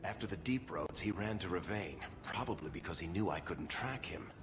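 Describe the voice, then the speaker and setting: A man's voice: low-pitched, animated, normal speed, noisy background. An actor playing a sardonic, roguish male dwarf in a video game, speaking in a cool, confiding way. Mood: wry, confiding.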